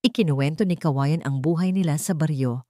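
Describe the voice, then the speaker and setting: A woman's voice: low voice, a little fast, warm tone, no room sound, studio quality. A woman reading a scripted, dramatic story as its narrator.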